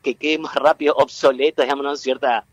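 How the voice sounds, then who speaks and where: A man from Entre Ríos in Argentina with a local accent, a guest explaining at length in an interview, halting and full of fillers and restarts. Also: mid-range voice, little pitch movement, no room sound. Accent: Entre Ríos Argentine Spanish. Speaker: guest, tech cluster president.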